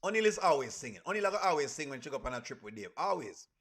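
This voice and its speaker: Bright, high-voiced Caribbean man chatting. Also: big pitch swings, racing, slightly rough. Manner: repeats phrases emphatically. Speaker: livestream host. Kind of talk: casual reaction livestream, chatting with viewers.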